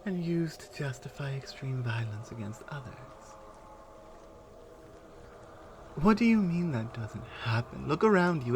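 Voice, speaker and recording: A woman playing a proud, stern Amazonian warrior in an ASMR audio roleplay, speaking to a prisoner in a measured, commanding way with deliberate pauses. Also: very deep voice, a little mellow, a little hiss or hum. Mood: challenging.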